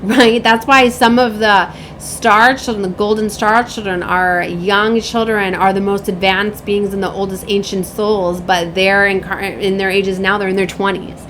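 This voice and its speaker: A woman's voice: medium pitch, fairly even intonation, measured pace, hardly any pauses, fairly bright tone, a little hiss or hum, poor audio. A woman in her late thirties giving a casual, rambling spiritual reading as a tarot reader, with an emphatic manner.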